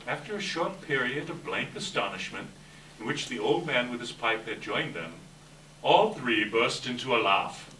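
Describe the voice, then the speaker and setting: Animated male story narrator, echoey room. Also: mid-range voice. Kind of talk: scripted story narration at readers theater. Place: indoor live staged reading. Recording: echoey room, distant mic, faint noise.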